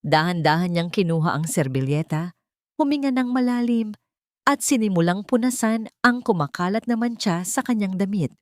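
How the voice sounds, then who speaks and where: A woman with a Filipino accent reading a scripted romantic drama story with dramatic, deliberate phrasing. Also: mid-range voice, lively intonation, dry recording, clean studio sound.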